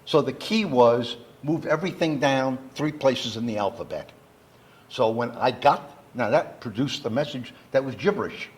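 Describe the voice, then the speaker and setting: A man with a husky, slightly rough voice gives an explanatory lecture. He speaks at a middle pitch, laying out definitions deliberately and one step at a time, like an engineer teaching a general audience. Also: lively intonation. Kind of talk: educational public lecture, explanatory. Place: talk given live to an audience.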